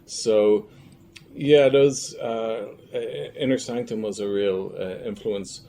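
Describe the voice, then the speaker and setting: Low-voiced man, thoughtful interview guest. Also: steady tone, slow, dark tone. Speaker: filmmaker and audio drama creator, interview guest. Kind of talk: casual reflective interview talk. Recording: faint background noise, room ambience.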